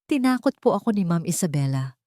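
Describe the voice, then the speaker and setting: A woman's voice: fairly low pitch, very animated, a little fast, dark tone, no echo, studio quality. Woman voicing a trembling, frightened confessor. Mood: fearful. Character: frightened woman in her thirties, former assistant.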